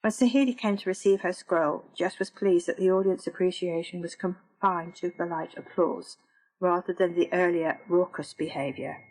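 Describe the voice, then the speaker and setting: A woman author reading her own book aloud in a measured, even storytelling manner. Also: lowish voice, poor audio. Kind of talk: audiobook narration.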